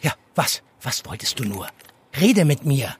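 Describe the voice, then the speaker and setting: A man's voice: mid-range voice, very animated, a little slow. A man playing a stern, insistent police handler in an audio drama, pressing with short questions and commands in a controlled, probing way. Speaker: actor in a fiction podcast. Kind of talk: naturalistic German audio drama.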